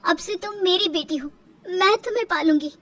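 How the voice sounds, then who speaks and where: A woman's voice: very high voice, normal speed, fairly bright tone, no room sound, recorded from a distance, pitched above the performer's own voice. A woman voicing a kind, protective, principled village mother in a moral-story cartoon. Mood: tender.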